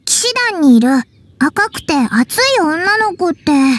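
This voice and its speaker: A woman voicing a tiny cheerful fairy companion in a video game, with a squeaky childlike voice and chatty, blunt, boyish speech. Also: expressive, measured pace, no room sound. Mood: puzzled. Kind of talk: Japanese anime video game voice acting. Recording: studio voice under game music.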